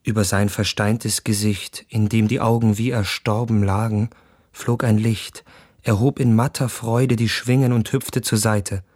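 An actor playing an earnest, courteous young male visitor in a literary radio play, speaking in measured, reflective phrases full of wonder. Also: lowish voice, little pitch movement, darkish tone, no echo, studio quality.

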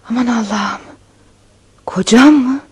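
A high-pitched countess in a radio play. She is passionate and determined, speaking with emotive, affectionate pleading to the man she loves. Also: slow pace, a little mellow, breathy edge, heavily acted. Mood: flustered, shocked. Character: passionate countess awaiting a child with her lover, hopeful, determined. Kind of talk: radio theatre acting.

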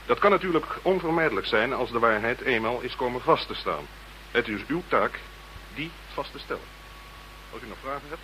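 Pompous British minister: grave, polite, official, crisp-edged mid-range voice for radio drama. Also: male voice, mid-range voice, expressive, brisk, sharp tone, slight background noise, low-quality recording. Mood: grave, courteous. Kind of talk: radio play acting.

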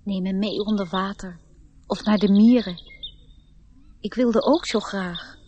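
A woman's voice: medium pitch, measured pace, warm tone, dull audio, lightly acted. An actress playing a curious, playful young girl in a radio play, with a direct, questioning manner. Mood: pleading. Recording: noisy radio play with birdsong.

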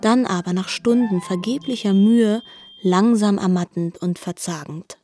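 A woman narrating a literary radio drama in a measured, reflective manner. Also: medium pitch, warm tone, faint music behind.

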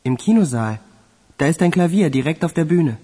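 A man's voice: a little high, lively intonation, moderate pace, warm tone, husky. A man playing a polite, matter-of-fact young piano pupil in a radio drama. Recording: long reverberant hall.

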